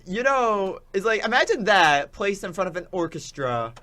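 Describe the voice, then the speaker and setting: A man's voice: high-pitched, sing-song, moderate pace, sharp tone. A young man co-hosting a radio show in casual, chatty banter. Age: young adult.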